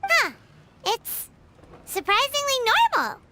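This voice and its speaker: Very high, squeaky fairy girl. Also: big pitch swings, slow pace, bright, forceful tone, full character voice. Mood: eager, curious. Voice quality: squeaky, childlike. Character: small, blunt, excitable fairy-like companion girl. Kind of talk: scripted video game voice acting.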